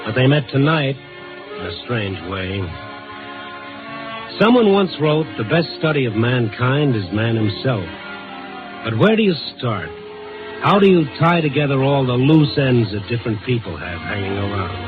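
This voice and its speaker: An American actor playing a reflective, hardboiled night-beat newspaper reporter in a 1950s radio drama. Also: male voice, medium pitch, big pitch swings, measured pace. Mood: brooding, reflective. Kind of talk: 1950s radio drama acting, first-person noir narration. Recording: muffled vintage radio recording with music.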